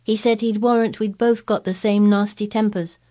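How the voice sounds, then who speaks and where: A woman's voice: mid-range voice, fairly even intonation, moderate pace, mellow, voice pushed up, lightly acted. A woman voicing a blunt, sour-tempered young girl in a storybook reading, frank and earnest.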